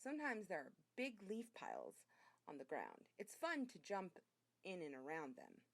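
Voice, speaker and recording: A woman reading a children's story aloud as a narrator, in an expressive storytelling way. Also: on the low side, normal speed, gravelly, no echo. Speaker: reader.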